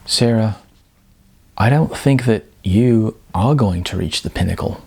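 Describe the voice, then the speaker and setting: A man voicing a casual, evasive young boyfriend who speaks haltingly, in an audiobook. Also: on the low side, gravelly, a little mellow, breathy edge, exaggerated voice. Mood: hesitant, evasive. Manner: hesitant, halting, noncommittal. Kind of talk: audiobook character dialogue.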